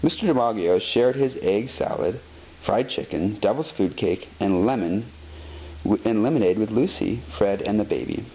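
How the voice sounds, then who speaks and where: A man narrating a children's story expressively, reading deliberately phrase by phrase. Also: lowish voice, animated. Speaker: storybook reader. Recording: dull lo-fi sound, faint noise.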